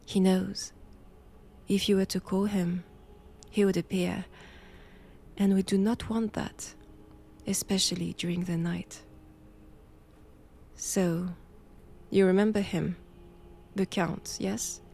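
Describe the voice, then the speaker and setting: A woman with a French accent playing a knowing, menacing nun captor in an intimate roleplay audio drama, with measured, teasing, formal old-fashioned phrasing. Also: mid-range voice, little pitch movement, vocal fry, warm tone. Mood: hushed warning.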